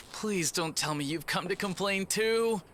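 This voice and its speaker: An actor voicing an earnest, expressive railway worker in a video game. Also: male voice, sits high, slow, a little hiss or hum. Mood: apologetic, weary.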